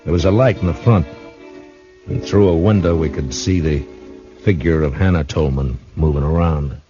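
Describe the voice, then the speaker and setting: Tough old-west marshal, low low voice, slow and grave, vintage radio play. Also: male voice, music in the background. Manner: measured, grave. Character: stern frontier marshal, grown man. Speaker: narrator and lead. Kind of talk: 1950s radio drama acting. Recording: dull vintage radio recording.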